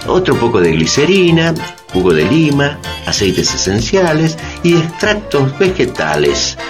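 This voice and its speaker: An actor playing a wry, didactic explainer with an ironic, emphatic manner in a satirical educational radio drama. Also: male voice, mid-range voice, wildly swooping pitch, a little slow, music in the background. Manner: ironic, emphatic, rhetorical questions. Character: wry, didactic male explainer debunking foreign patents.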